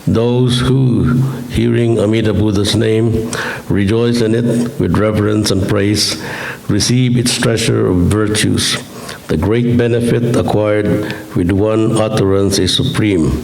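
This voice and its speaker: A middle-aged man gives a dharma talk, his low voice roughened by a chest cold and a touch of gravel. He talks in an easygoing, lightly humorous way. The sound carries the echo of a temple hall. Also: steady tone, unhurried, a little hiss or hum. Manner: gentle, chatty, lightly joking. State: chest cold, slightly hoarse. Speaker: Buddhist minister.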